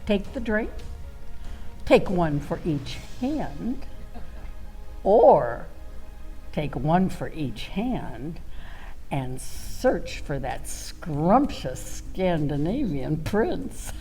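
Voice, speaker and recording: Woman playing slow, swooping, theatrical storytelling diva. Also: sits low, big pitch swings, warm tone, faint music behind, exaggerated voice. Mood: coy. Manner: theatrical, drawn-out delivery with pauses, sighs and exclamations. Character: flamboyant, self-dramatizing woman storyteller, wry and flirtatious. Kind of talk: comic monologue in community radio theater.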